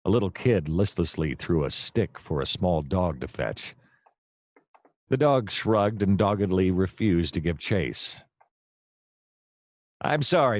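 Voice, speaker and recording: Man playing a deep-voiced, deadpan noir private eye. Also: no echo, clean studio sound, full character voice. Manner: deadpan, melodramatic. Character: seedy, self-deluded, wisecracking male noir private detective. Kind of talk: hardboiled noir first-person narration, comedic radio play.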